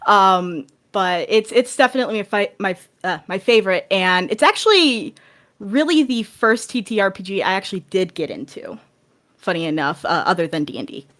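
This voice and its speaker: A woman's voice: medium pitch, lively intonation, normal speed, a bit bright. A woman talking casually and chattily as a guest on a podcast. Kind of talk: casual chat.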